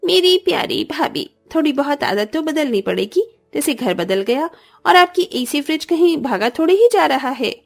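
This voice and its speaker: A woman's voice: high-pitched, very animated, moderate pace, gravelly, soft background music, full character voice. A woman playing a greedy, spoiled young sister-in-law in a cartoon, wheedling with sugary, theatrical pleading. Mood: sly, sweet. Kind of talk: Hindi cartoon family-drama acting.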